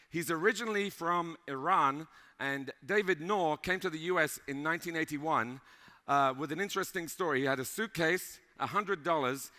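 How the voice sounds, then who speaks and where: A man emceeing an event and introducing the keynote speaker, halting with many fillers, in a large hall. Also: fairly high pitch, sharp tone. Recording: live mic in a large hall with long reverb.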